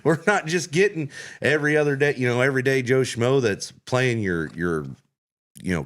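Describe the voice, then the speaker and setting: A man hosting a podcast who chats casually and eagerly promotes the show. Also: mid-range voice. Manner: enthusiastic, promotional. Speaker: podcast host.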